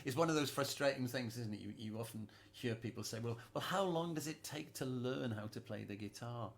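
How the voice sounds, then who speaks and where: A man's voice: mid-range voice, a little fast, gravelly, a little hiss or hum. A man hosting a casual music chat, hesitant and repeating words, laughing often. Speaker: host.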